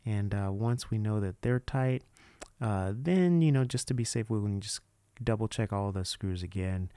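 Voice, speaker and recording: Smooth lower-voiced man giving a casual tutorial. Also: normal speed, dark tone, no echo. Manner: informal, unscripted, hands-on explaining. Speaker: presenter of a DIY how-to video. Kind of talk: casual step-by-step tutorial narration.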